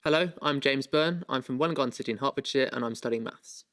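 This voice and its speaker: A man's voice: mid-range voice, quick pace, a bit bright. A young man on a quiz team introducing himself and conferring hesitantly over answers. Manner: hesitant, thinking aloud. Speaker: quiz contestant.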